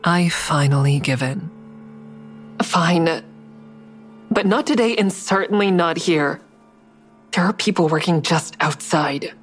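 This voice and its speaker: Low-voiced, guarded woman investigator. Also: slow, pauses often, slightly rough, faint music behind, heavily acted. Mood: tense, guarded. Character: guarded, stressed woman investigator hiding a dark secret. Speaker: narrator and lead character. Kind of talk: audio drama acting with first-person narration.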